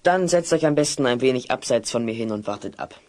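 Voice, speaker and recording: A man's voice: mid-range voice, expressive, fast talker, natural voice. A man playing an earnest, long-winded apprentice wizard who speaks precisely in a German children's audio play. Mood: hesitant, hopeful. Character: earnest, bookish male apprentice wizard, slightly unsure.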